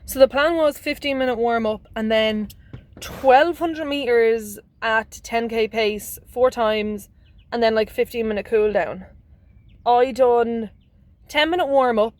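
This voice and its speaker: A woman chatting casually to her camera in a rambling vlog, her voice a little hoarse and phlegmy. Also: a little high, steady tone, a little slow, no room sound. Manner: chatty, rambling, restarts mid-word. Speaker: vlogger.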